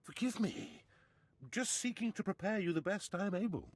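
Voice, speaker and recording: An actor voicing a deferential, earnest military aide in a video game, husky and formal. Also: male voice, high voice, lively intonation, moderate pace, no echo.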